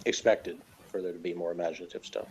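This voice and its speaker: A man's voice: sits low, steady tone, fast talker, darkish tone, a little hiss or hum, low-quality recording. A man in a casual review chat, talking in a rambling, hesitant and wry way.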